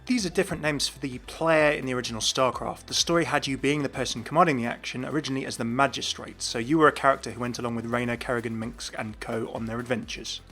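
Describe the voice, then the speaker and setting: A man's voice: mid-range voice, fairly even intonation, fast talker, hardly any pauses, pushed and bright. A man giving scripted, explanatory commentary as the host of a gaming video. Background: background music.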